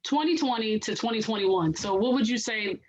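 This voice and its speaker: Woman co-host, raspy and nasal, a little hoarse, fairly high voice, slightly dull lo-fi recording. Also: brisk, a little hiss or hum. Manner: chatty, many "you know" fillers. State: hoarse, recovering. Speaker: podcast co-host. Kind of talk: casual chat.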